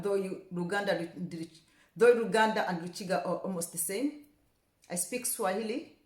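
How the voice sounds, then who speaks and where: A young woman from Rwanda talking casually to camera, chatty and repeating words for emphasis, turning passionate. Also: medium pitch. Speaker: vlogger. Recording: echoey room.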